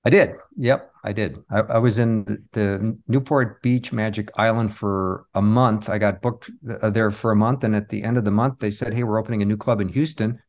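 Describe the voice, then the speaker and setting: Lower-pitched man, casual storyteller. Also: steady tone, fairly quick, hardly any pauses, darkish tone. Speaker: interview guest, magician and comedy performer. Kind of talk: casual anecdotal chat.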